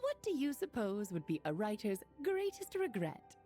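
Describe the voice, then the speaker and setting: A woman's voice: mid-range voice, wildly swooping pitch, moderate pace, mellow, faint music behind. A woman playing a breezy, eccentric witch auntie in an anime video game, with a theatrical storyteller's flourish. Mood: storytelling.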